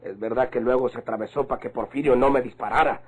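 A man plays a boastful villain from an old Mexican radio western. His voice is raspy and mid-pitched, with a Mexican accent. He is a bitter, resentful gunman. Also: steady tone, quick pace, close to an everyday voice. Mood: bitter, frustrated. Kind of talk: vintage Mexican radionovela acting.

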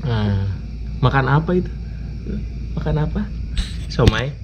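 Motovlogger, man, slow playful coaxing chat, action-cam audio with loud noise. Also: medium pitch, animated. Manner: playful, coaxing talk to a toddler. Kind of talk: casual handheld vlog chat. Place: outdoor porch of a house at night. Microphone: action camera. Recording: dull camera audio with loud background noise.

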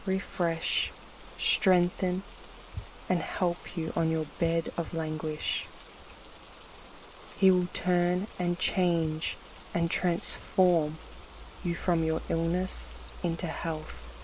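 A narrator reading scripture and prayer for a sleep meditation in a measured, solemn way with pauses between short phrases, in a devotional style. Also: medium pitch, a little hiss or hum, rough recording. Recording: steady rain sound over the voice. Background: soft rain.

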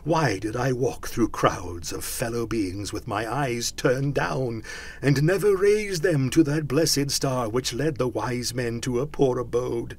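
A man voicing a tormented, mournful ghost in a storybook reading, with slow, drawn-out declamation. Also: medium pitch, a little mellow, slightly rough. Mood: anguished, wailing. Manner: slow, drawn-out, declamatory delivery with wailing cries. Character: tormented male ghost, mournful and solemn warning spirit.

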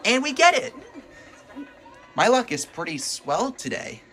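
A man doing casual, excitable gameplay commentary as a video host, reacting aloud as he plays. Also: very high voice, a little slow, some chatter behind. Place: indoors at home, filming a TV.

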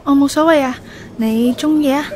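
A woman playing a gentle, apologetic young woman in a radio drama, soft and hesitant, with a Hong Kong Cantonese accent. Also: high-pitched, moderate pace, roomy sound, slight background noise, lightly acted.

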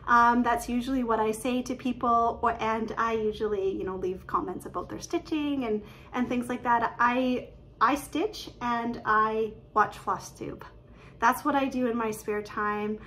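Excited chatty woman vlogging. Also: a little high, a little hiss or hum. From Canada. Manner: quick, excited, chatty with frequent fillers and laughs. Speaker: cross-stitch vlogger. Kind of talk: casual show-and-tell chat. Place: living room at home, indoors. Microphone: webcam at desk distance.

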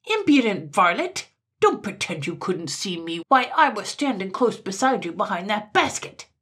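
A woman's voice: mid-range voice, very animated, moderate pace, a bit bright, slightly rough, voice pushed up. A woman voicing a tiny, hot-tempered male mannikin from a storybook, snapping out haughty commands. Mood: furious, indignant. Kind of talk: storybook character voice.

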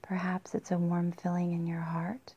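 A woman leading a guided meditation in a soft, breathy, husky near-whisper with long pauses, in a dull, muffled recording. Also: fairly low pitch, completely flat delivery.

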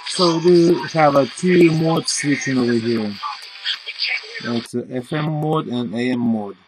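A man casually demonstrating a gadget as a reviewer, in halting, plain-spoken narration at a desk. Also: medium pitch, music in the background. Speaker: reviewer and channel host. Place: at a cluttered desk indoors. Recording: clearly noisy, clicks and typing sounds.

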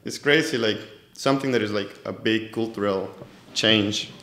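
A Colombian man in his twenties speaks English with a Spanish accent, relaxed and laughing easily, filling pauses with "like". His voice sits low. The office studio around him sounds roomy and echoey. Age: 20s. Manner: easygoing, laughs often, many "like" fillers. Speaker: podcast guest, goalkeeper coach. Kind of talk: casual interview chat. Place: office room used as a podcast studio. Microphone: studio mic on a stand, headphones.

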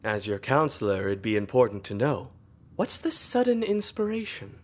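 Creepy, very low-pitched male counselor. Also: very animated, moderate pace, heavily acted. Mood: smooth condescension. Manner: oily, insinuating, formal. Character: creepy, smarmy forty-year-old male school counselor. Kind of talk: visual novel voice acting.

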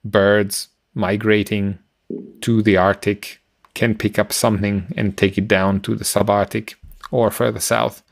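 A middle-aged man explaining science informally as a podcast co-host, halting as he searches for words. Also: fairly low pitch, flat delivery, creaky, no echo.

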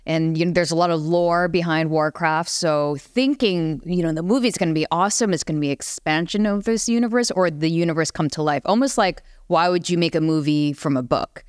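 Clear, low-voiced woman chatting candidly. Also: brisk, hardly any pauses, no room sound, studio quality. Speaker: panelist on a video game talk show. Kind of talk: casual panel discussion.

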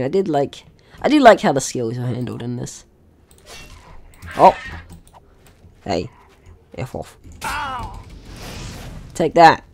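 A man's voice: a little high, gravelly, mellow. A man giving casual gameplay commentary, chatting through his own actions in short remarks. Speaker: gaming video host.

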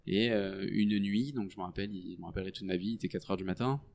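A man in his thirties, a French doctor, explaining his work in a relaxed podcast chat full of hesitations. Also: sits low, fast talker, vocal fry. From France. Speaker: guest doctor. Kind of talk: casual podcast interview.

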